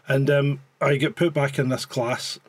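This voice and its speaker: A man's voice: medium pitch, level tone, measured pace, dry recording. A Scottish man co-hosting a live stream in casual banter, rambling and sweary with dry humour. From Scotland.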